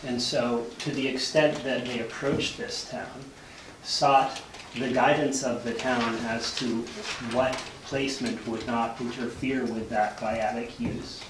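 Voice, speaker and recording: A man arguing formally like an attorney at a public meeting, assertive and measured in his wording. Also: medium pitch, flat delivery. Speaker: attorney speaking for property owners. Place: indoor town board meeting room. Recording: roomy, distant mic, noisy hiss and clicks.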